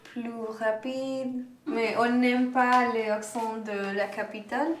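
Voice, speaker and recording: Brief: high, flat-voiced woman, slow, echoey kitchen. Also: slight background noise. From Colombia. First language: Spanish. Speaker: language student. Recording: echoey kitchen, distant mic.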